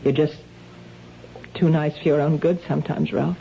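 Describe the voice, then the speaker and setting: Anxious, guilt-ridden wife, muffled recording. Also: female voice, sits low, steady tone, moderate pace, vocal fry, dark tone. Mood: anxious, guilty. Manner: earnest, uneasy, defensive. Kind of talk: old-time radio drama acting. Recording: dull, faintly noisy old radio recording.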